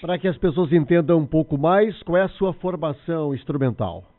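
A man's voice: on the high side, expressive, normal speed, no room sound. A man hosting a radio show, interviewing a guest with effusive praise. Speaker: radio host. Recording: tinny dry radio sound.

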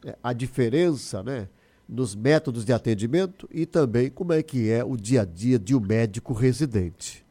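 Relaxed man hosting a radio interview. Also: mid-range voice, moderate pace. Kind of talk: live broadcast news interview.

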